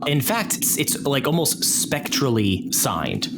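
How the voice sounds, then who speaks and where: A man working as game master, narrating an improvised tabletop game in a measured, deliberate way. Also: medium pitch, big pitch swings, noisy background. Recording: prominent music bed behind the voice. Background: loud background music.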